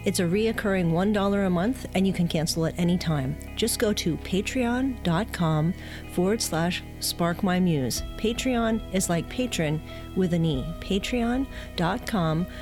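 A woman speaking as a podcast host, addressing a guest or listeners in a clear presenting style. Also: fairly low pitch, measured pace, over music. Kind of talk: podcast interviewing and scripted announcement.

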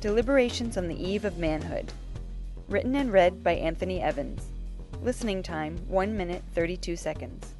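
A woman's voice: fairly low pitch, animated, moderate pace, a little mellow, faint music behind. A woman reading scripted announcements as a podcast host.

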